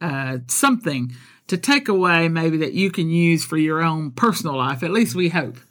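A man's voice: on the high side, expressive, normal speed, a bit bright. A man born in Texas and raised in Colombia co-hosts a podcast with his spouse, chatting casually with frequent laughs and fillers. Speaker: podcast co-host.

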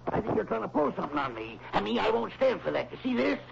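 A gravelly, shifty radio-drama crook. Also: male voice, sits high, sing-song, fast, full character voice. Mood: menacing. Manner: blustering, stammering, slangy tough talk. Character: shifty, short-tempered male blackmailer and killer, cornered. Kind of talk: 1960s radio drama acting. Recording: noisy, dull old radio recording.

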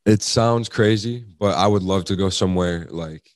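Very deep young baritone man, chatting casually. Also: moderate pace, no echo, studio quality. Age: young adult. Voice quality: very low baritone. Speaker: rapper, interview guest. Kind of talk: casual chat.

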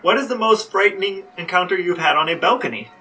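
A man's voice: sits high, expressive, quick pace, pushed and bright, distant mic, faint music behind, rough recording. A man co-hosting a casual podcast, explaining things and asking questions. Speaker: podcast co-host, interviewer.

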